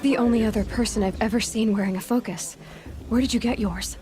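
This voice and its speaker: An actress playing a young, independent hunter in a fantasy game, speaking in a direct, questioning way. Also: female voice, a little high, fairly even intonation, brisk, music in the background, close to an everyday voice. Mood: challenging. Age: young adult. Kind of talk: natural dialogue in a fantasy game.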